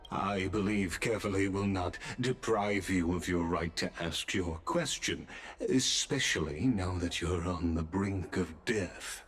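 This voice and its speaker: An actor playing a courteous, enigmatic and smoothly cunning gentleman in a video game, formal and theatrical in manner. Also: sits low, heavily acted. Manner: formal, polite, unhurried and theatrical. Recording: background music behind the voice.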